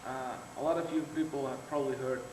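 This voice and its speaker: A man's voice: mid-range voice, steady tone, quick pace. A seventeen-year-old young man from the Netherlands giving a short, hesitant self-introduction as an exchange student, full of fillers and restarts. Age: teens. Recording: echoey room, mic far off, noisy.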